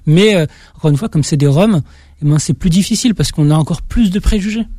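A man's voice: a little high, fast, dark tone, no room sound, clean studio sound. A young man from France with a slight Auvergne accent, an author answering interview questions in a hesitant way, with frequent restarts. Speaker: author guest. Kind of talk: interview conversation.

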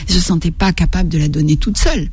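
An older woman from Algeria, a writer, talking slowly and reflectively in an interview, often stopping to search for her words. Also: on the low side, a little mellow, breathy edge, dry recording. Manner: halting, searching for words, many restarts.

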